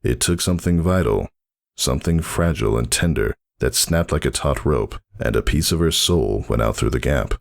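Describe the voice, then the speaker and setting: A man's voice: a bass voice, animated, normal speed, gravelly. A man narrating a story as a dramatic reading, solemn and theatrical. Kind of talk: dramatic scripted fan fiction narration.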